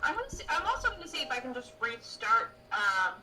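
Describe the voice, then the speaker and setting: Female player, high voice, casual delivery, heavily echoing distant line with music behind. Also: big pitch swings, brisk. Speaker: player in a tabletop game stream. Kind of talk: casual voice chat. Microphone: online voice chat microphone. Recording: very echoey, distant, tinny, loud music behind. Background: loud music.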